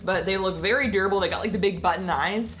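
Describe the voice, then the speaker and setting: A woman vlogging casually about her convention trip, a little croaky from losing her voice, rambling with lots of filler words. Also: medium pitch, fast talker, a bit bright. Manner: chatty, rambling, many "like" fillers, laughs often. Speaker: gaming content creator. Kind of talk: casual vlog chat.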